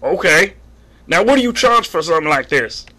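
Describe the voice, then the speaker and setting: A man's voice: fairly high pitch, sing-song, normal speed, bright, forceful tone. A man voicing a folksy, sheepish husband in a prank call, rambling earnestly over the phone. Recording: phone line audio.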